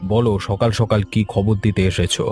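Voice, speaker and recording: A man's voice: on the low side, fairly even intonation, a little fast, dark tone, soft background music, close to an everyday voice. A man playing a haughty, scheming village boss in a cartoon, commanding and dismissive. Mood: cold, scheming. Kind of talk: Bengali cartoon story acting.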